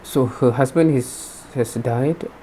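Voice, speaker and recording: A man giving unscripted reaction commentary as host, halting and often repeating and rephrasing his words. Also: mid-range voice, dark tone, slight background noise, rough recording.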